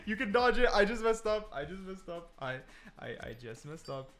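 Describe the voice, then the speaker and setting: A man's voice: sits high, very animated, moderate pace. A man giving casual, unscripted gameplay commentary, thinking aloud and correcting himself as he goes in an informal way. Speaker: gaming video creator. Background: background music.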